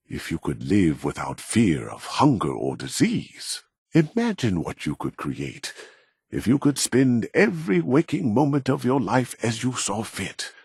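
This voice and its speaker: Deep, husky, arrogant male scientist. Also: darkish tone, no room sound, studio quality, exaggerated voice. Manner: measured, formal, self-important, condescending. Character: proud, arrogant middle-aged male robotics scientist. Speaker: video game character voice actor. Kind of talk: scripted video game dialogue.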